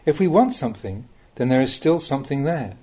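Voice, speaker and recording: Expressive, mellow-voiced man reading Buddhist teachings. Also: mid-range voice, a little fast, husky. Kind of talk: reading a recorded Dhamma talk aloud.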